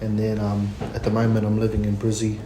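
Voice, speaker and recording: A man's voice: fairly low pitch, completely flat delivery, fast talker. A man, an R&B singer talking briefly and casually on a podcast. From New Zealand. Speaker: R&B singer and barber, podcast guest. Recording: echoey room with noise.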